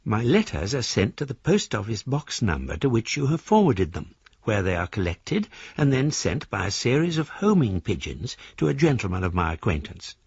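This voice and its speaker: An actor playing a philosophical fugitive embezzler in a British radio drama, a mannered gentleman with a British accent reading his formal, ornate letters aloud. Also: mid-range voice, big pitch swings, normal speed, vocal fry, few pauses, breathy edge, no echo, muffled recording, heavily acted. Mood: confiding, philosophical.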